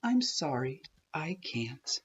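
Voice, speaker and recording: A sad young male storybook rock acted by a woman, apologetic and resigned. The words come slowly and plaintively, in a slightly dull recording. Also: deep voice, sing-song, breathy edge, heavily acted.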